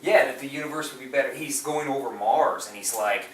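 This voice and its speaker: Male podcast co-host, bright and keen, gushing in casual film chat, picked up from across a roomy space. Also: mid-range voice, fairly quick. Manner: enthusiastic, gushing. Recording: roomy, distant mic, background noise.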